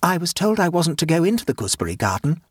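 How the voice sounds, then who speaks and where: A man's voice: on the high side, sing-song, fast talker, husky, no echo, studio quality, voice pushed up. A man voicing a sly, clever young boy who speaks with mock innocence. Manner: innocent but sly, gleeful.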